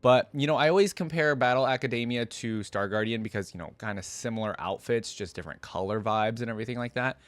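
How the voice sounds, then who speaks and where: A man's voice: mid-range voice, quick pace, few pauses, no room sound, faint music behind. A man giving casual, informal reaction commentary as an online host, musing and thinking aloud as he goes.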